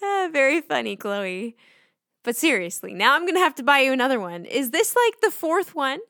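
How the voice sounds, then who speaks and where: A woman's voice: sits high, sing-song, normal speed, gravelly, bright, forceful tone, dry recording, studio quality, heavily acted. A woman playing a teasing, mildly exasperated mother in a children's audio drama. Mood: wry, exasperated.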